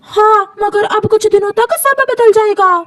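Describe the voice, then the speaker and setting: A woman's voice: very high-pitched, a little slow, fairly bright tone, voice pushed up. A woman voicing a selfish, bossy male parrot in a cartoon. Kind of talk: cartoon character acting.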